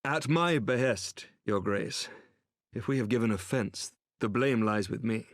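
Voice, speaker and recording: A man's voice: mid-range voice, measured pace, no echo, studio quality, close to an everyday voice. A man playing a valiant, courteous and loyal knight in a fantasy game, with formal, emphatic speech. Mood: deferential. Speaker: voice actor in a fantasy video game. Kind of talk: cinematic game cutscene acting.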